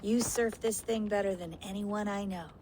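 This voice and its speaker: A woman's voice: medium pitch, fairly even intonation, moderate pace, a little mellow, a little hiss or hum, natural voice. An actress playing a supportive, affectionate woman teammate in a dramatic video game cutscene. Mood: fond, concerned.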